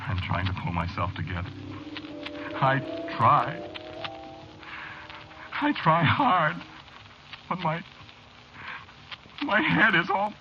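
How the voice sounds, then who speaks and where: An actor playing a frightened, unraveling man in an old-time radio horror drama, halting and pleading, as if haunted. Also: medium pitch. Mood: confused, scared. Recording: noisy, muffled old radio drama recording.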